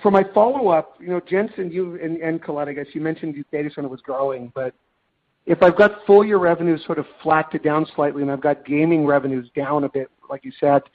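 A man's voice: on the high side, a little fast. A man speaking as a financial analyst, putting detailed, probing questions on an earnings call and persistently rephrasing them. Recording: tinny, narrow phone line.

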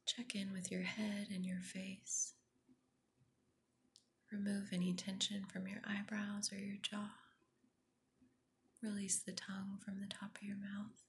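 Slow, whispery woman guiding meditation. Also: mid-range voice, completely flat delivery. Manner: soft, breathy near-whisper, soothing and gentle. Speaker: meditation guide, podcast host. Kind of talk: guided meditation.

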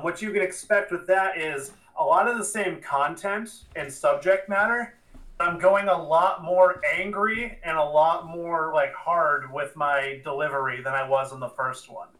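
A man with a bright, forceful voice on the higher side hosts a casual podcast. He talks informally and sounds a little away from the microphone. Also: recorded from a distance, rough recording. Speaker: podcast host, rapper.

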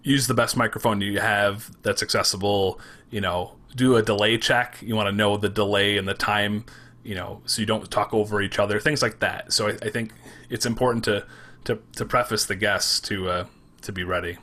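A man with a Michigan accent who talks fast and runs his words together, chatting about making podcasts. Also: fairly low pitch, steady tone, sharp tone. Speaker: podcast creator. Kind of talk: casual panel chat.

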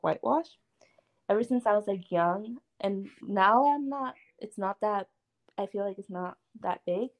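A woman's voice: medium pitch, muffled recording. A young woman on a student panel, talking hesitantly and using "like" constantly. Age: young adult. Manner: hesitant, heavy use of "like". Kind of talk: casual panel discussion.

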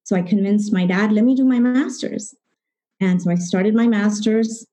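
A woman's voice: medium pitch, moderate pace, dark tone. A middle-aged woman from Zambia telling a personal story at a live event, reflective and posing rhetorical questions, acting out bits of dialogue.

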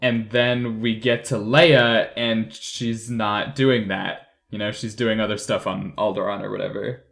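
A man's voice: on the low side, flat delivery, a little slow, creaky, few pauses, a bit bright. A man leading a casual group discussion as a podcast host.

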